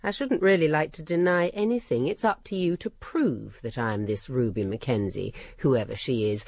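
An actor playing a coolly taunting suspect under police questioning in a radio drama, evasive and deliberate. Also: mid-range voice, vocal fry, husky, no room sound, studio quality. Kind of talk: BBC radio drama acting.

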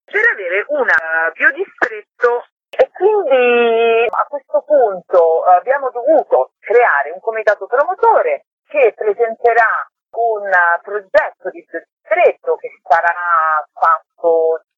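A woman being interviewed on the radio as a committee member, explaining conversationally and pausing mid-phrase to find her words. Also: on the high side, very animated, no room sound. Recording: dull, band-limited phone-like line.

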